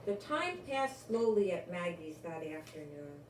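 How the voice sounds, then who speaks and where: An older woman, a grandmother, reads a children's story aloud with deliberate, expressive delivery and adds her own asides. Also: on the low side. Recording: roomy, distant microphone, faint background noise.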